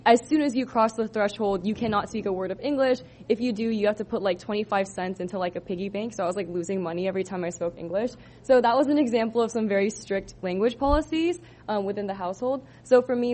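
A young woman from Southern California presents her senior thesis to a room of listeners. She talks in a loose, casual way, filling pauses with ums and likes. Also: medium pitch, darkish tone. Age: 20s. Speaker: student presenter. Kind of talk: thesis presentation to a live audience.

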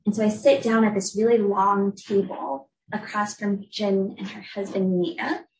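A young woman from the United States giving an academic talk as a researcher, explaining in a clear, measured way. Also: mid-range voice, steady tone, a little hiss or hum. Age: young adult. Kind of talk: academic lecture. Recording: echoey room, voice from a distance.

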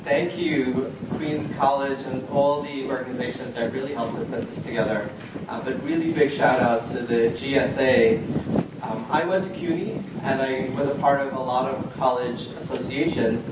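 A man's voice: mid-range voice, fairly even intonation, normal speed. A man from Guyana speaking informally as a panelist at a community forum, addressing the audience directly in a conversational way. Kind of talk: informal public panel speech. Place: large echoey indoor event room. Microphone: distant microphone. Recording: very echoey, noisy, muffled, distant room recording.

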